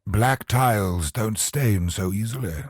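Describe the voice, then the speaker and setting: An actor voicing a grave, bitter, ancient cosmic sorcerer in a video game, speaking in solemn, portentous, theatrical lines with a husky voice. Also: male voice, lowish voice, dry recording, studio quality. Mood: brooding, ominous. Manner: solemn, portentous, dramatic slow delivery.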